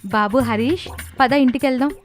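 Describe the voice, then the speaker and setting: High-voiced excitable young village wife by a woman. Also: moderate pace, natural voice. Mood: firm, questioning. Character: resourceful, excitable young village daughter-in-law. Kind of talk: cartoon moral story dialogue. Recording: music clearly behind the voice.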